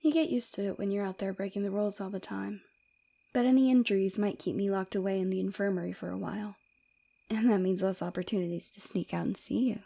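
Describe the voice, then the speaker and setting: Breathy, flirty villainess, acted by a woman. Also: mid-range voice, fast, warm tone. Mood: candid, inviting. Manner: teasing, coy, seductive, often dropping to breathy whispers. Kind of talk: ASMR roleplay acting.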